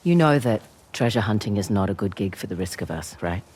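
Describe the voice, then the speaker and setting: A woman's voice: a bass voice, lively intonation, fairly quick, darkish tone, slight background noise. A woman playing a wry, teasing, adventurous treasure hunter in a video game cutscene. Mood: wry, easygoing.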